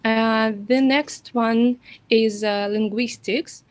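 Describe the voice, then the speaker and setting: A woman with a somewhat high voice walks through study programs on a webinar. She speaks in English, fills her pauses with "uh" and "um" and restarts her sentences as she goes. The sound comes through a video call. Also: fairly even intonation. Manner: hesitant, many fillers and self-corrections. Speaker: university representative.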